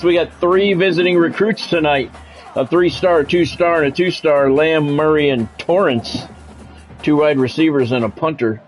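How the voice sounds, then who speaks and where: A man's voice: fairly high pitch, rough recording. A man streaming a football video game, mixing play-by-play commentary with casual, blunt, salty chat.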